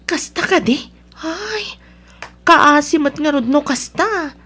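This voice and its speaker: An actress playing a nosy, sympathetic, gossipy wife in a radio drama, with an Ilocano accent. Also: female voice, high voice, lively intonation, slow pace, pushed and bright, heavily acted. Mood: curious, pitying.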